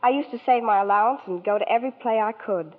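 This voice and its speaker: A woman's voice: a little high, quick pace. A woman from New York, a guest starlet in a 1940s radio interview, speaking sweetly and politely. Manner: sweet, polite scripted chat. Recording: tinny 1940s radio broadcast.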